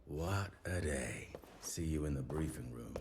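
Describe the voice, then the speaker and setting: An actor playing a calm, wry veteran agent who speaks in short, laconic lines. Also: male voice, very deep voice, measured pace, background noise. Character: steady, dry-witted male veteran agent.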